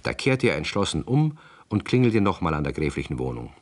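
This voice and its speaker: Deep, animated male radio narrator. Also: fairly quick. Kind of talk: scripted storytelling narration for 1960s radio play.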